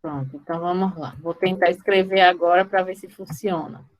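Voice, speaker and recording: A woman's voice: lowish voice, fairly even intonation, brisk, low-quality recording. A woman with a Brazilian accent teaching informally in a live online class, often stopping to question and check on her students. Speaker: mathematics teacher.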